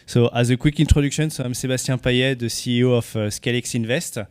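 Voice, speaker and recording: A man with a French accent giving a conference presentation as a company CEO, speaking haltingly with many fillers and restarts. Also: mid-range voice, fairly even intonation, clear, resonant tone, no echo. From France. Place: conference venue.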